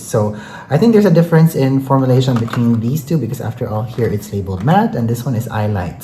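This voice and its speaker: Chatty makeup vlogger, androgynous mid voice, soft mellow tone, roomy echo, bit of background noise, says like you know a lot. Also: medium pitch. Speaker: beauty vlogger. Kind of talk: casual chatty makeup product review.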